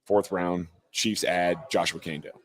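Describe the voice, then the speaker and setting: A man's voice: low-pitched, steady tone, moderate pace. A man losing his voice after days of talking, breaking down football players in casual live chat. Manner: asks leading questions, breaks down players. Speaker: football analyst.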